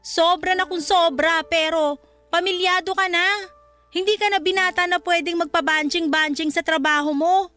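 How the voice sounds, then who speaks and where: A woman's voice: very high voice, fairly even intonation, normal speed, pushed and bright, faint music behind. An actress playing a nagging, exasperated young wife and mother in a Filipino radio drama, scolding and pleading.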